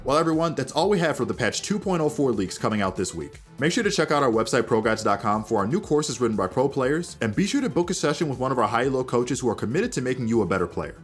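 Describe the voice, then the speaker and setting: Man, very quick pace, mid-pitched voice, scripted gaming news host, addresses viewers, music underneath. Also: big pitch swings. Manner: addresses viewers directly, presenter-like.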